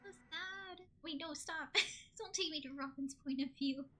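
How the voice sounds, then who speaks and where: A woman's voice: sits high, unhurried, background noise. A woman game streamer giving casual live reaction commentary, thinking aloud and often asking questions.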